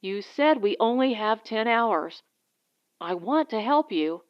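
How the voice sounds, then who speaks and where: A woman's voice: a little high, expressive, takes it slowly, muffled recording. A woman voicing an anxious but plucky young wife in a space adventure audiobook, questioning and vulnerable. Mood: anxious, earnest.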